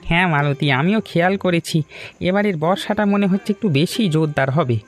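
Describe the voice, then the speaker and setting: A man voicing a helpful, steady village uncle in an animated story, advising and reassuring his neighbours. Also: high voice, fast, faint music behind, lightly acted. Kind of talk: Bengali animated story dialogue.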